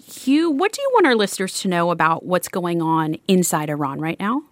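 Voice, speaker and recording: A woman hosting a public radio interview, introducing a guest in a measured, polite way. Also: lowish voice, big pitch swings, no echo. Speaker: radio show host.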